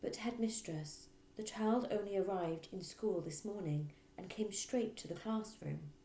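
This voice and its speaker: A woman voicing a gentle, nervous but determined teacher in a storybook, polite and pleading. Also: mid-range voice, a little slow, a little hiss or hum, close to an everyday voice. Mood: earnest protest. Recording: roomy echo.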